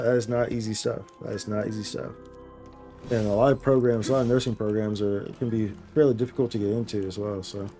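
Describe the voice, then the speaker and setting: A man livestreaming games with a mellow, relaxed voice. He talks in loose, slangy chat full of bro-speak and doubles back on phrases for emphasis, with faint music underneath. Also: medium pitch. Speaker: game streamer, host. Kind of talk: casual livestream chat while gaming.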